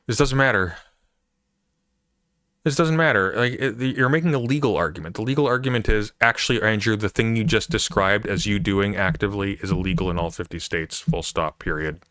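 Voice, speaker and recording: A man's voice: sits low, fairly bright tone, no room sound, clean studio sound. A man hosting a casual reaction stream, talking over clips in an exasperated, emphatic way and repeating himself.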